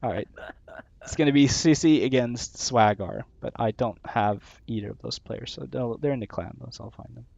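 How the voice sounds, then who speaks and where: Gravelly-voiced male stream caster. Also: medium pitch, moderate pace, a little mellow, dry recording. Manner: laughs often, thinks aloud, trails off. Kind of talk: casual live-stream commentary. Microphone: headset or desk mic, not on camera. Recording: dull lo-fi sound.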